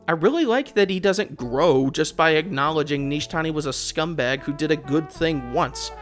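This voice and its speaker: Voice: medium pitch, animated, moderate pace, faint music behind. A video essayist giving sarcastic, exasperated, opinionated commentary on a video game. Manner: sarcastic, exasperated, swearing rant. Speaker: video essayist, channel host.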